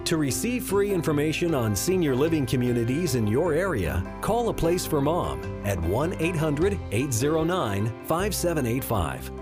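Lively male ad announcer over music. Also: on the high side, normal speed. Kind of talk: radio advertisement.

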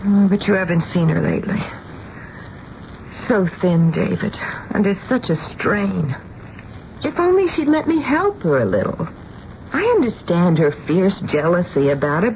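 A caring, anxious wife telling her story in a radio play. Her mid-pitched voice moves widely as she speaks with earnest concern. The recording is muffled and a little noisy. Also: sing-song, moderate pace, darkish tone. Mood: worried. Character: worried, tender-hearted wife who also narrates the story. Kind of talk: radio drama acting and narration. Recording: dull, hissy old radio broadcast.

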